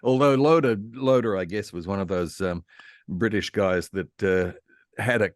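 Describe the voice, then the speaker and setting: Deep-voiced man chatting as a historian. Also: normal speed, no echo, clean studio sound. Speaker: panel guest, radio historian. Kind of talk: casual informed discussion of old radio.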